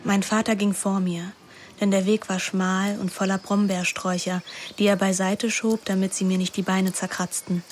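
Actress as introspective young narrator, even intonation. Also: female voice, medium pitch, a little hiss or hum, natural voice. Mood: tense, hurt. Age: young adult. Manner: measured, introspective. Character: young woman recounting love and intrigue, introspective, languid. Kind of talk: literary radio play narration. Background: music.

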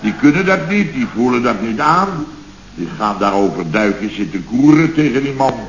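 An actor playing a blustering, aggrieved middle-aged boss of a building firm in a sarcastic, grumbling radio comedy style. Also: male voice, medium pitch, lively intonation, moderate pace, close to an everyday voice. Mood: exasperated. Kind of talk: Dutch radio comedy acting, circa 1970. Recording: dull, noisy, roomy old radio recording.

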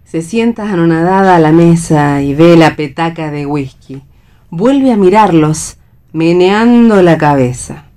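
An Argentine woman serves as narrator for a radio play reading. Her voice is low for a woman as she reads the scripted stage directions. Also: animated, measured pace, dark tone.

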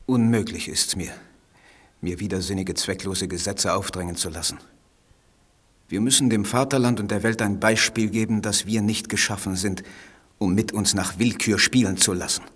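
An actor playing a tormented, proud, half-mad poet in a radio drama, with solemn theatrical declamation. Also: male voice, on the low side, normal speed, a bit bright, breathy edge. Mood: defiant.

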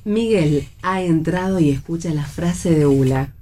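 Radio theatre narrator: Argentine woman, lowish, mellow tone, reading out stage directions. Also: unhurried, slight background noise.

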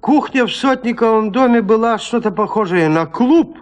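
A man narrating a classic literary tale in an audio drama, measured, with deliberate pauses. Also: very high-pitched, animated.

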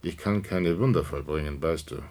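A man's voice: a bass voice, normal speed, darkish tone. A man playing a wry, indulgent older uncle in a German science fiction radio play, with dry irony. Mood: ironic, indulgent.

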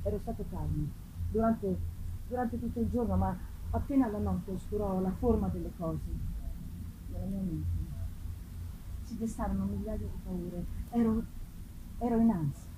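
Donna che dà voce a un uomo tormentato, rumore forte. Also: female voice, medium pitch, normal speed, long pauses, lightly acted. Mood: anxious. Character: Victor, troubled man, loving and dread-filled gothic narrator. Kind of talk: theatrical first-person gothic reading. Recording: live performance with loud background noise.

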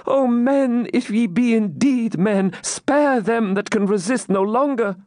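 A man playing a high, breathless, fervent young maiden. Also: expressive, normal speed, darkish tone, no room sound, studio quality. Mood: urgent, fervent. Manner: breathless, exclamatory, archaic dramatic speech.

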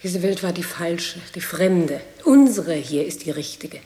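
An actress playing an earnest, troubled woman comforting a haunted man in a radio play, with solemn, deliberate delivery. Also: on the low side, lively intonation, mellow. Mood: urgent, earnest. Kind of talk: German radio play acting. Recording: echoey, reverberant radio drama studio.

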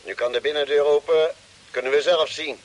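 An actor playing a practical ship's doctor in an old science-fiction radio drama, reporting in a matter-of-fact manner. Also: male voice, a little high, fairly even intonation, a little fast, close to an everyday voice. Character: male ship's doctor, observant, practical crewman. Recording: hissy, noisy old radio recording.

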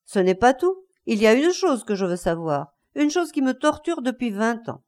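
A woman's voice: fairly high pitch, sing-song, moderate pace, voice pushed up. A woman voicing a bitter, enraged older man, a betrayed husband in a story, breathless and insistent in his outbursts. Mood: tormented, demanding.

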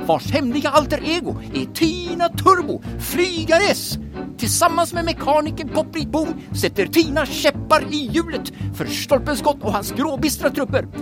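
A woman narrator delivering a dramatic, scripted introduction to an adventure serial. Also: high voice. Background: music.

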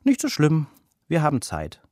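Man putting on a kindly old grandmother, pitched up. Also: huge pitch swings, normal speed, warm tone, no room sound, clean studio sound. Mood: reassuring. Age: older.

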